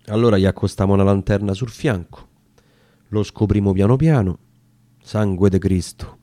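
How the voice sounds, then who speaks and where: Roman guy reciting sad war poem style verse, deep-ish relaxed tone, very solemn and theatrical. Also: normal speed, no room sound. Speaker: reader of dialect poetry. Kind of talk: dramatic recitation of narrative verse.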